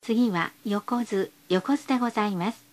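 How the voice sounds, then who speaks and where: A woman's voice: mid-range voice, normal speed. A woman reading scripted bus stop announcements in a polite, formal, carefully enunciated way.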